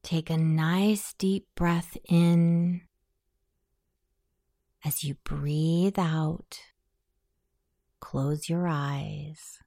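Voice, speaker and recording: A woman guiding a hypnosis meditation in a soothing, measured way, with long pauses between phrases. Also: low-pitched, fairly even intonation, mellow, no echo, studio quality. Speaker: hypnosis session guide. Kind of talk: guided meditation, self-hypnosis narration.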